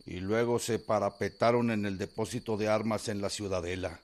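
Man acting as low-voiced rebel soldier. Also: steady tone, moderate pace, darkish tone, natural voice. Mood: earnest, urgent. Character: loyal, eager revolutionary soldier reporting to his general. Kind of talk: radio drama acting.

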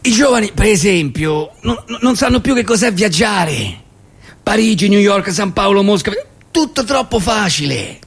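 Casting: male performer as indignant elderly Roman grandad for comic stage monologue; creaky, fairly high voice, wistful grumbling with halting pauses. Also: big pitch swings, fairly bright tone, full character voice. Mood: exasperated. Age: older. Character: grumbling nostalgic old Roman grandfather in a future world. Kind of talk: theatrical comic monologue reading.

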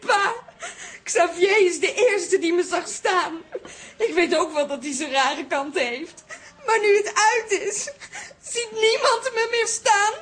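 An actress playing an insecure, feisty young daughter in a radio drama, blunt and plaintive. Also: female voice, extremely high pitch, slow pace, fairly bright tone, full character voice. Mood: tearful.

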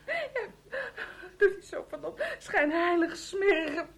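Woman playing a high-pitched, high-strung wife. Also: animated, slow pace, gravelly, full character voice. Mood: distraught, furious. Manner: agitated, emotional. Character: anxious, high-strung wife, jumpy, accusing and defensive. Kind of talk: Dutch radio play dialogue.

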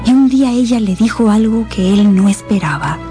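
A woman narrates a radio novel in a dramatic, scripted style. Her voice is of middling pitch. Music plays clearly underneath it. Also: lively intonation, a little fast. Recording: music behind the voice.

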